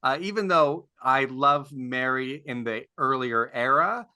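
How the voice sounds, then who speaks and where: A man with a bright, forceful voice talks on a casual panel with very little room sound. His delivery is wry and matter-of-fact. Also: mid-range voice, a little slow, clean tone, no room sound, studio quality. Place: online video call panel.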